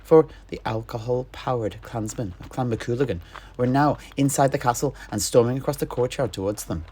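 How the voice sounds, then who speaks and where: A man's voice: mid-range voice, darkish tone. Theatrical male fantasy storyteller, noisy recording. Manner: theatrical, deliberate phrasing with pauses. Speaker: storyteller guest in an in-character fantasy chat. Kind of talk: dramatic in-character fantasy narration.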